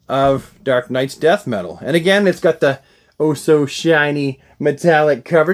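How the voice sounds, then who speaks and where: A middle-aged man giving casual, jokey unboxing commentary to camera, effusive and repeating words for emphasis. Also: fairly high pitch. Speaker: host of a comics collecting channel. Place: small indoor room packed with collectibles.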